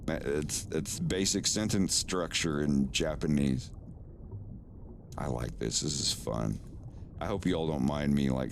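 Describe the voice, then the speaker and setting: A man streaming live in casual chat, relaxed, often stammering and repeating words and swearing now and then. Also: extremely low pitch, a little hiss or hum. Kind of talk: casual live-stream chat while doing language lessons.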